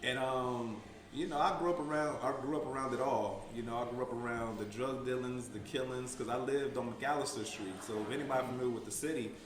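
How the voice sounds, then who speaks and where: A man's voice: medium pitch, fairly even intonation, fairly quick, hardly any pauses, slight background noise. A man from San Francisco, a podcast guest talking reflectively and giving street-wise life advice. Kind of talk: storytelling in casual chat. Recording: echoey room.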